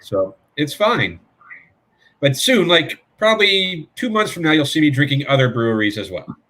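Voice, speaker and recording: A man's voice: on the high side, big pitch swings, normal speed, sharp tone. A man co-hosting a casual online drinks show, easygoing and self-mocking. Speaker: co-host.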